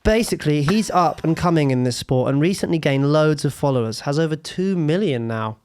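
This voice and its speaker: A young British man hosting a casual podcast, cheeky and sweary, his voice going hoarse. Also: on the high side, lively intonation, fairly quick, darkish tone. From Britain. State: losing his voice. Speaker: podcast host.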